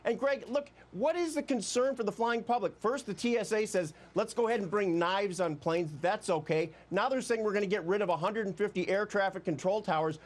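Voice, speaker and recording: High-voiced, insistent American male legal commentator. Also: brisk, few pauses. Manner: insistent, rhetorical questions. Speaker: lawyer, TV legal panelist. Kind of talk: argumentative TV panel commentary.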